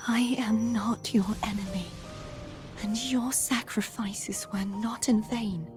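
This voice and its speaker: A woman playing a solemn, resolute young female warrior in a video game, speaking in an earnest, measured, breathy way. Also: a little high, fairly even intonation, over music. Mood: solemn, subdued.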